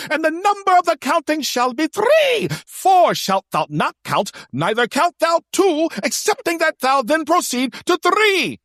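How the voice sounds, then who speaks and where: A woman's voice: high-pitched, fairly bright tone, no room sound, clean studio sound, pitched above the performer's own voice, full character voice. A woman voicing a pompous, solemn gatekeeper who declaims in archaic, ceremonial style for an audio drama. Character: pompous, solemn mock-scriptural cryptic gatekeeper of a secret. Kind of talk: audio drama, character impression within narration.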